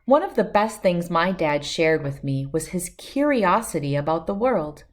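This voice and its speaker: A woman's voice: lowish voice, lively intonation, normal speed. A woman reading a children's picture book aloud as host, telling it expressively in the first person. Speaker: storybook read-aloud host.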